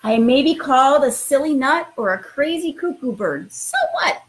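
A woman's voice: high voice, expressive, normal speed. A woman in middle age, a school principal, talking informally and reading a picture book to children in an encouraging way. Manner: encouraging, addresses children directly.

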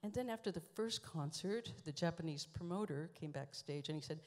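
Low-voiced woman musing on a theater stage. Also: animated. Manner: dry, musing storytelling with deliberate pauses. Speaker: performance artist reading her book on stage. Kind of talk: spoken-word stage monologue. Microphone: stand microphone. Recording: live hall reverb.

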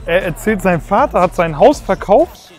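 High-voiced, animated young man. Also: normal speed, faint music behind. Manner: animated, joking. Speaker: host. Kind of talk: excited casual chat. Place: indoor football hall.

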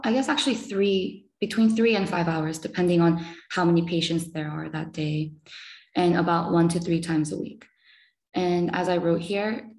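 A young woman with a lowish voice speaks over a video call from a small room. She talks easily and chattily, scattering in fillers as she goes. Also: darkish tone, poor audio. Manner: chatty, many fillers like "you know" and "um". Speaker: medical student speaker.

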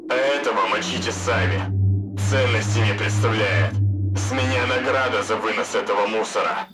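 A man's voice: lowish voice, normal speed. Man as a cold commander over radio, music behind. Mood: cold, ruthless. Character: cold, ruthless male gang commander on radio. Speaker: voice actor. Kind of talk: cartoon dub acting.

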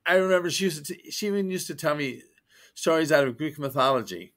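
A man's voice: a little high, animated, fast, fairly bright tone. A man, a guest author, chatting in an interview and telling wry, joking stories with many restarts. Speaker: guest, science fiction author. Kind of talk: casual interview chat.

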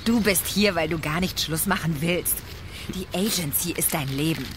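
A woman's voice: fairly low pitch, moderate pace, background noise, lightly acted. An actress in a radio crime drama playing a self-assured agency woman who talks in an insistent, knowing way. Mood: persuasive, challenging. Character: persuasive, self-assured female agency recruiter. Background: outdoor park ambience.

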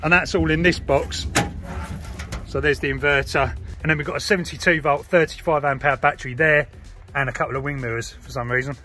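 A man's voice: fairly high pitch, fairly even intonation, a little fast, sharp tone, faint music behind. A man, giving a casual, chatty explanatory vlog as a hobbyist presenter. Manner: informal, chatty. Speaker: radio hobby vlogger.

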